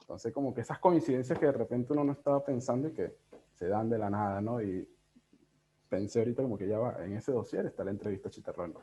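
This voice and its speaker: A man in his thirties with a Venezuelan accent, talking casually and reflectively as an interviewed editor, in a halting, digressive way. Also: medium pitch, dark tone. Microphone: video call. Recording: dull, lo-fi video call audio.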